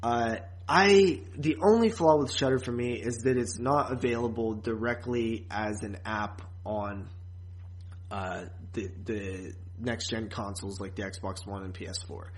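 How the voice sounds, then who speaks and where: A man co-hosting a podcast in a casual, chatty way, often pausing and repeating words as he thinks. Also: lowish voice, low-quality recording. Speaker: podcast co-host.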